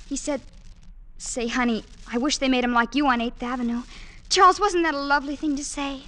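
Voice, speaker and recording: A woman's voice: high voice, a little fast, bright, forceful tone. An actress playing a dreamy, fearful young woman in love, in a vintage radio drama.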